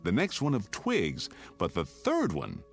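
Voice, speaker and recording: A man's voice: fairly low pitch, wildly swooping pitch, unhurried, gravelly, soft background music, heavily acted. An American man acting in a radio drama as a level-headed, middle-aged father who takes command. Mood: jovial.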